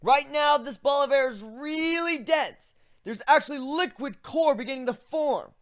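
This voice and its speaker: A man voicing a young male griffin mage who lectures excitedly and chants spell words slowly. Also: very high-pitched, voice pushed up. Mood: eager explaining. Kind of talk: dramatic fan reading.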